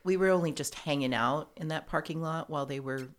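Theater owner, woman, low-pitched, easygoing and chatty. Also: a little fast. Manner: chatty, easygoing, laughs often. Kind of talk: casual conversation.

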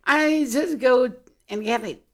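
Vietnamese-accented woman guest, unhurried, halting replies in plain English. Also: sits high, gravelly. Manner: short, hesitant answers in simple English. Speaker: guest storyteller. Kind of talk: casual interview.

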